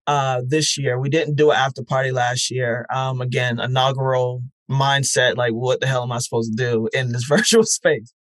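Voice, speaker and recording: A man gives thoughtful interview answers in a medium-pitched voice. He speaks earnestly and haltingly, often repeating words while he works out a thought. The sound is dry, with very little room in it. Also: steady tone, a bit bright, no room sound. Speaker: interview guest, festival founder. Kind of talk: reflective conversational interview.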